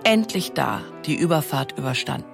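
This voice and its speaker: A narrator reading an informative documentary script in a clear manner. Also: mid-range voice, expressive, moderate pace, soft background music.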